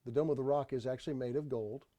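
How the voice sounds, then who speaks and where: A man giving an unscripted tour voice-over, explaining sights in a halting way and often repeating his phrases. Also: medium pitch, steady tone, dark tone. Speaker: tour narrator. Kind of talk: unscripted travel video voice-over.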